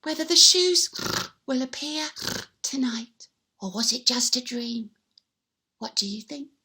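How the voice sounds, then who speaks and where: Woman playing an amazed, lively old shoemaker. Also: high voice, a little slow, full character voice. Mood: quiet wondering. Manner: wide-eyed, wondering, exclaiming. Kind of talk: children's storytelling character voice.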